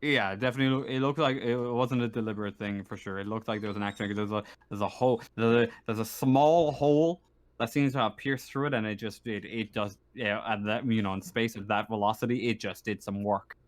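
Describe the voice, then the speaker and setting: A man narrating a tabletop roleplaying game as game master, speaking haltingly and repeating phrases as he improvises. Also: lowish voice, fairly even intonation. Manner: halting, repeats phrases while working out descriptions.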